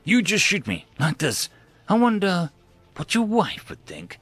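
A shrewd woman prisoner , voiced by a male narrator, speaks with soothing, probing calm. The voice is lifted in pitch and lilts over a dry recording. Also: a little slow, breathy edge, no echo, full character voice. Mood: pointed, persuasive.

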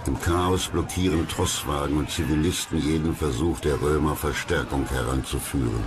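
Very deep male documentary narrator. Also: fairly even intonation, normal speed, heavy background noise. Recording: loud music under the voice. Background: loud background music.

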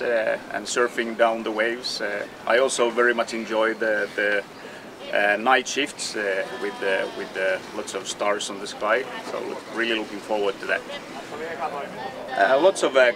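A man in his forties with a Finnish accent introduces himself to camera on a yacht, pausing often on fillers and restarts. His voice sits at an ordinary middle pitch. Heavy outdoor noise runs under him. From Finland. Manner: hesitant, many fillers and restarts. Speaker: sailing crew member. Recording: loud outdoor noise behind voice.

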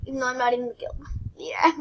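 A young woman with a high, squeaky voice talks over her gameplay at a desktop mic. She rambles, giggles and mocks herself as she goes, often trailing off into quiet asides. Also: fairly even intonation, fairly quick, very noisy. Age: teens. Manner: rambling, giggly, self-deprecating. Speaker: gaming channel host. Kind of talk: casual gameplay commentary.

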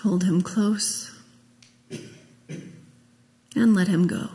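A woman minister leading a guided meditation, speaking gently and in a measured way with long pauses. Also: fairly low pitch, steady tone. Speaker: minister leading a memorial service. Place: large church sanctuary, at a pulpit. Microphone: gooseneck pulpit microphone. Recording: long echo of a church hall.

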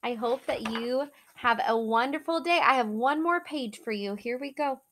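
A young woman reading a children's picture book aloud in an animated, drawn-out, singsong way, like a teacher talking to small children. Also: fairly high pitch. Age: young adult. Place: small living room, seated in an armchair indoors.

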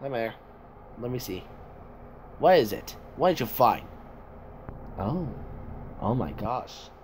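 A man improvising amateur character lines in a halting way, repeating and reworking them as he goes. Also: medium pitch, big pitch swings, darkish tone. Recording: faintly noisy home recording.

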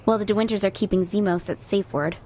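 A woman voicing a wary, pragmatic female ex-agent in a video game, matter-of-fact and deadpan. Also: medium pitch, quick pace, slight background noise, lightly acted.

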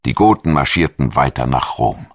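Very deep-voiced male documentary narrator. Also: expressive, moderate pace, no room sound, clean studio sound. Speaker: documentary narrator and voice-over translator.

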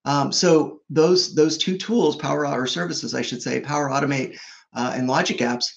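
A man's voice: fairly high pitch, moderate pace, vocal fry. A man in his forties on a video call from a home office, explaining products in a fluent, upbeat conversational way with frequent fillers. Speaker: product executive guest. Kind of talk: conversational tech keynote. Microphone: video call webcam.

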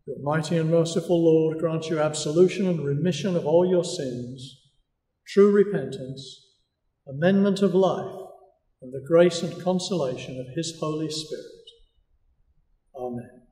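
A man in his seventies, a priest, solemnly and deliberately reading formal liturgical prayers. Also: on the high side, dark tone. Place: large stone church, indoors. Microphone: camera a few metres away. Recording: distant mic, echoey church reverb.

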